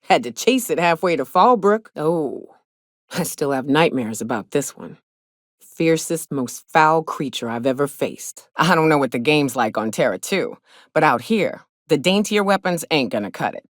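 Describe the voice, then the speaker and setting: An actress playing a hard-drinking frontier hunter and guide in a video game, blunt and wry, with folksy speech. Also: female voice, low voice, animated, normal speed, no room sound, clean studio sound. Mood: grim, knowing. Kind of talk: video game companion dialogue acting.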